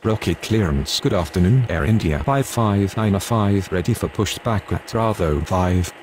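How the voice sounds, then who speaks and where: A man plays an airline pilot talking to the tower in a video game. The voice is very deep and the scripted calls are clipped and procedural. There is faint noise behind it. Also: expressive, unhurried, darkish tone. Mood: businesslike. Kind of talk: scripted radio phraseology.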